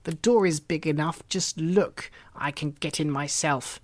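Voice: medium pitch, unhurried, no echo, lightly acted. A reader voicing a wicked, cunning old witch who eats children, in a storybook reading, sly and mocking. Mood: commanding.

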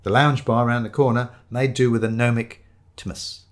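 A man's voice: medium pitch, steady tone, normal speed. A man narrating a comic first-person story in a wry, dry storytelling manner. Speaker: audiobook narrator.

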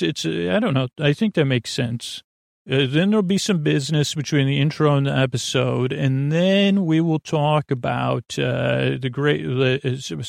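A man with a creaky voice hosting a bedtime podcast, rambling soothingly and haltingly to the listener with meandering self-corrections. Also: mid-range voice, no room sound, clean studio sound. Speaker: podcast host. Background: music.

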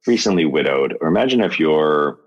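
A man's voice: rumbling low, expressive, brisk, breathy edge, lo-fi sound. A man in his fifties, a podcast guest talking earnestly in casual conversation, laughing often and restarting his sentences. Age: 50s.

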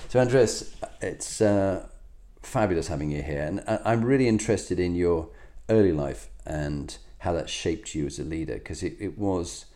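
Deep-voiced male podcast host. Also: normal speed, few pauses, warm tone. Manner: encouraging, frequent short affirmations. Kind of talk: interview podcast hosting.

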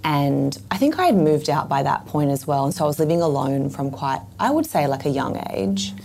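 Croaky low-voiced woman. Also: sing-song, brisk, darkish tone, slight background noise. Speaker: guest. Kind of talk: reflective conversation.

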